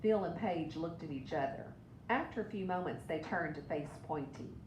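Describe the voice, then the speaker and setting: A woman's voice: lively intonation, normal speed, a little mellow. A woman reading a children's story aloud as a storybook narrator. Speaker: storybook reader. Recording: echoey room with background rumble.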